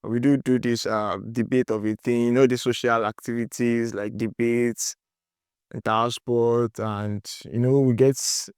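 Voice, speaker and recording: A man's voice: medium pitch, flat delivery, unhurried, darkish tone, clear, resonant tone, no echo, studio quality. A young man in his twenties with a Nigerian accent, a student answering interview questions in a hesitant, rambling way with many fillers.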